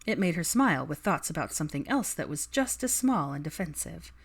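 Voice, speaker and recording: A woman's voice: low-pitched, big pitch swings, a little fast, husky. A woman reading fiction aloud as an audiobook narrator, in scripted storytelling style.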